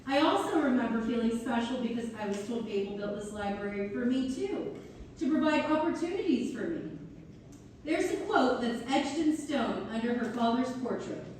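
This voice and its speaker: A woman's voice: on the high side, expressive, moderate pace, a little mellow, lightly acted. A woman playing a grateful, earnest townswoman in a community theater monologue. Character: grateful, earnest townswoman who runs a business. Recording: echoey hall from far off, faint noise.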